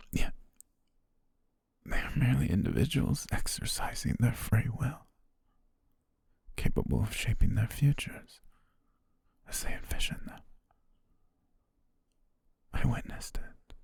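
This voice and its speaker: A man voicing a dying young butler, loyal and tender, who speaks in hushed, halting words broken by weak breathy laughs as his strength fades, recorded on a close mic for an ASMR roleplay. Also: medium pitch, lively intonation, no room sound, heavily acted. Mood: tender farewell. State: fading, short of strength. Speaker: voice actor.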